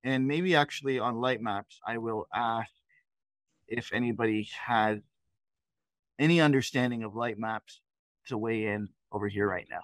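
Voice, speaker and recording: A man's voice: mid-range voice, moderate pace, pauses often, fairly bright tone, no room sound. Middle-aged man teaching, dry close sound. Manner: explanatory, with rhetorical questions. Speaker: trainer leading a live software session. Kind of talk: conversational software tutorial. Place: indoors, on a video call.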